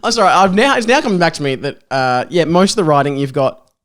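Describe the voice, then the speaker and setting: Young man, podcast guest, high-pitched, very lively intonation, teasing, casual comic chat. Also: fast. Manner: teasing, bantering.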